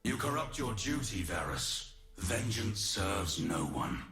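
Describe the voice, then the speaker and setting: A man's voice: on the low side, sing-song, takes it slowly, fairly bright tone, exaggerated voice. An actor playing a stern, noble ancient god-warrior in a video game, solemn and formal in his delivery. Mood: stern reproach. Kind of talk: video game champion voice lines. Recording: echoing game voice over music.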